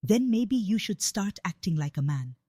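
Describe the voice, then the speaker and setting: A woman's voice: medium pitch, very animated, moderate pace, warm tone, no echo, clean studio sound. Scornful, sarcastic wife, voiced by a woman storyteller. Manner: sarcastic, snapping, cutting. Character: scornful, sarcastic working wife fed up with husband.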